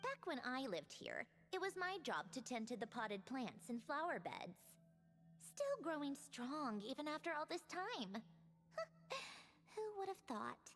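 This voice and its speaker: A calm young heroine in a fantasy game, soft and reflective in how she speaks. Her voice sits fairly high and rises and falls a great deal, measured even in quiet moments. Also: full character voice. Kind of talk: game voice acting.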